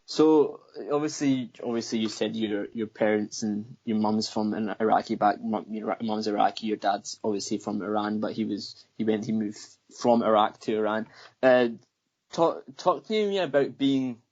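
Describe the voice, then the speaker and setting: A man's voice: on the low side, moderate pace, a little mellow, highs cut off. A man from Scotland interviewing a guest in a casual way, often stumbling and repeating words.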